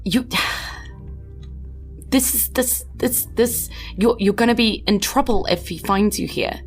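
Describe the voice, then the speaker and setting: A woman plays a timid farm woman in a tabletop role-playing game. Her voice sits a little high, and she hesitates and stammers, anxious and apologetic. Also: takes it slowly, faint music behind. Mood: nervous.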